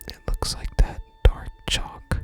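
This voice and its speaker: Soft, breathy ASMR whisper over music. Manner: gentle, deliberate reading of archaic dialogue with casual asides. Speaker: ASMR gaming content creator. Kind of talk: whispered ASMR game playthrough, reading dialogue aloud and commenting. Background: game music.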